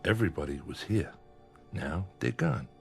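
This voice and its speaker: An actor playing a menacing bookmaking boss in a radio crime drama, with weary sarcasm and veiled threats. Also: male voice, deep voice, animated, normal speed, gravelly, breathy edge, soft background music, full character voice. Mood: cool, needling. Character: menacing, sardonic male gangland bookmaking boss. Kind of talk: old-time radio crime drama acting.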